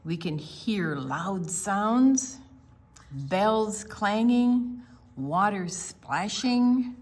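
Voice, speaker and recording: An older woman co-hosting a children's storytime, explaining and reading aloud deliberately, with emphasis on single words. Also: mid-range voice, animated. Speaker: children's storytime co-host and reader.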